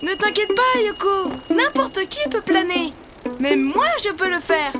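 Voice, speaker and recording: A performer voicing a calm, helpful little drawing teacher in a children's cartoon, patient and reassuring. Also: very high voice, a little slow. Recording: loud music behind the voice.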